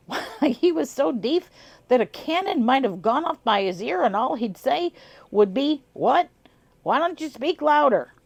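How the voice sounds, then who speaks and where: A woman's voice: fairly high pitch, darkish tone, no echo. A woman voicing a grumbling old rural man with a put-on Southern twang, telling a family story in a folksy drawl. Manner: folksy drawl, dropped endings.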